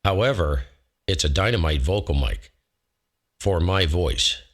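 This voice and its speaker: A man with a low voice hosting a ham radio show, talking casually through a microphone demo from his home shack on a close dynamic mic, rambling and correcting himself as he goes. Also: animated, a little slow. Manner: rambling, self-correcting, folksy. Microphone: close dynamic studio mic.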